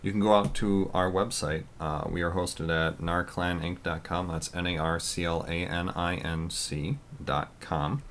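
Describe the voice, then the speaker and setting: A man with a deep, low voice chats loosely on a podcast. He talks loudly and wanders through his points with plenty of fillers. A faint hiss of noise sits under the recording. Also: fairly even intonation, unhurried, few pauses. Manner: loud, rambling with many fillers. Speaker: podcast co-host. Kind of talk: casual podcast chat.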